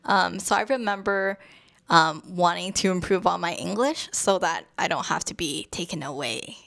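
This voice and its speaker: Soft-spoken young woman, gravelly low voice. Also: moderate pace. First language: Cantonese. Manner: soft, hesitant storytelling with many fillers. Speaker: co-host. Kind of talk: casual chat.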